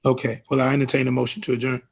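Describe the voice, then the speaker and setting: A man with a medium-pitched, rather flat voice chairs a formal meeting on a video call. He moves through procedure haltingly, with pauses as he picks his words. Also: vocal fry, slightly rough. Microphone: video call microphone.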